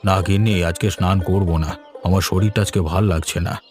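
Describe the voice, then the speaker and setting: A man's voice: sits low, fairly even intonation, normal speed, music in the background, lightly acted. A man voicing a sick, feeble elderly father-in-law in a cartoon, sounding feverish and ailing. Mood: weary, pained. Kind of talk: Bengali moral-tale cartoon dubbing.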